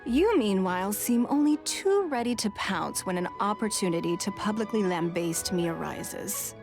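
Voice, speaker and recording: A woman's voice: mid-range voice, animated, over music, close to an everyday voice. Refined, archly polite noblewoman, played by an actress. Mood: teasing. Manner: formal, measured, archly polite. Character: refined, teasing noblewoman official, composed and sly. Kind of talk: anime fantasy game voice acting.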